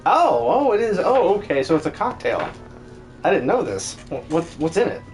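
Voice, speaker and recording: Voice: medium pitch, very animated, moderate pace, soft background music. A game streamer who dubs characters, chatting casually during a stream.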